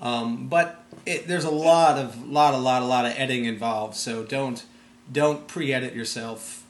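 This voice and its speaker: A man conducting a casual interview, talking in a rambling, halting way. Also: mid-range voice. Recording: roomy echo, background noise.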